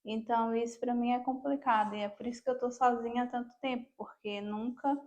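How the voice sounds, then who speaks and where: A woman's voice: on the high side, fairly even intonation, fairly quick, darkish tone. A Brazilian woman talking casually as a podcast guest, sharing personal experience.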